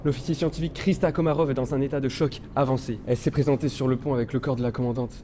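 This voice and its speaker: Actor as male engineer officer, anxious and earnest, mellow tone, faint background noise, sci-fi audio drama. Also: medium pitch, fairly even intonation, very fast, lightly acted. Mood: shaken. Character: male ship engineer, anxious officer under mounting strain. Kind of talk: amateur audio drama, recorded log.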